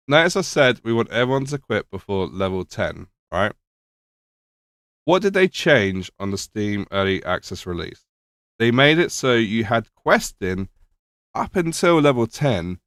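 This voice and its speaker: A man's voice: mid-range voice, a bit bright, no room sound, studio quality. A man reacting to a video in casual commentary, slightly nasal and losing his voice, sarcastic and swearing. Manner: sarcastic, swears, mock-questioning asides.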